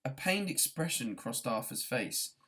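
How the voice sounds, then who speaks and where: Guy reading a novel out loud, medium pitched voice, audiobook narration. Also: big pitch swings, measured pace, breathy edge. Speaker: audiobook reader. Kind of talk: scripted storybook narration.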